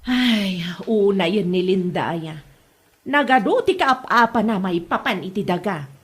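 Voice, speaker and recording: A woman's voice: mid-range voice, a little slow, husky. A woman acting an astonished, sympathetic village woman with an Ilocano accent in a comedy drama, full of exclamations. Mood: disbelieving. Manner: exclaiming, expressive.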